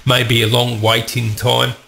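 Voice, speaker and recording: A man's voice: medium pitch, level tone, a little slow, gravelly, bright, forceful tone. A man with a cold and a congested voice, hosting a tech channel with chatty, opinionated commentary and rambling asides. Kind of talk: casual tech news commentary.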